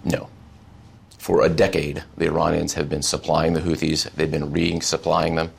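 Male US military official, extremely low and husky, firm official phrasing in formal interview answers. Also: level tone, normal speed. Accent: American. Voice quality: gravelly.

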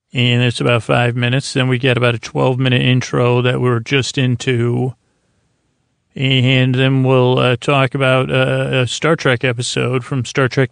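A middle-aged man with a creaky voice hosting a bedtime podcast in a slow, lulling, meandering way, haltingly repeating words. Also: medium pitch, no room sound, studio quality. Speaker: podcast host. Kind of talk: rambling bedtime monologue for sleep.

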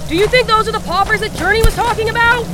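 Young survivor on watch actor, zombie audio drama, alarmed, extremely high pitch, loud noisy backdrop. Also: steady tone, quick pace. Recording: loud battle noise throughout.

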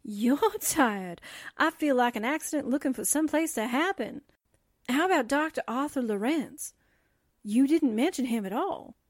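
A woman acts a chirpy female sidekick in a 1940s-style radio drama. Her voice is high and dryly recorded, and she chats in a joking, familiar way. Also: animated, moderate pace, dry recording, clean studio sound. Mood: perky, curious. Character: chirpy, wisecracking female newsroom assistant.

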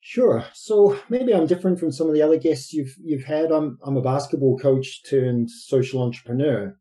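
A man with a New Zealand accent talks through his ideas in a podcast interview, pausing often on fillers as he builds each point. His voice is very dark and mellow, with a husky, slightly breathy edge. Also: a little high. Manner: thoughtful, explanatory, many fillers. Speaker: guest, basketball coach and social entrepreneur.

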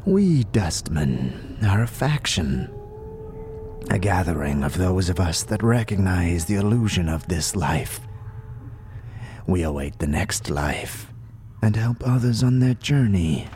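A man playing a frail, weary old scribe in a fantasy audio drama, speaking solemn archaic lines with a breathy, wheezing, whispery rasp. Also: low voice, very animated, darkish tone, a little hiss or hum, heavily acted. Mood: grave. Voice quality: breathy, wheezing, rattling with whispered trailing phrases. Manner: slow, solemn, archaic formal speech. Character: frail, weary, ancient undead-order scribe, solemn and contemptuous. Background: music behind the voice.

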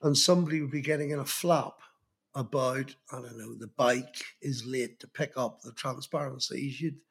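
Husky Belfast man telling old stories. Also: medium pitch, fairly even intonation, moderate pace, fairly bright tone. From Northern Ireland. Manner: rambling anecdotal storytelling with many restarts. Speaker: guest, magazine journalist. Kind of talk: reflective interview talk.

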